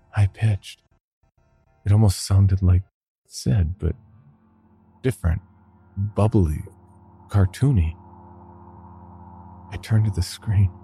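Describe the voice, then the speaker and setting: Deep, gravelly, slow-paced male horror narrator. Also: warm tone, faint music behind. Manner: slow, deliberate, somber delivery. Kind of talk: scripted first-person horror narration.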